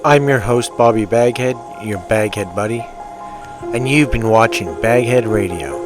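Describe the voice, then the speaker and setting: A man's voice: lowish voice, unhurried. A man hosting an online channel, reading a scripted sign-off. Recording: loud music behind voice.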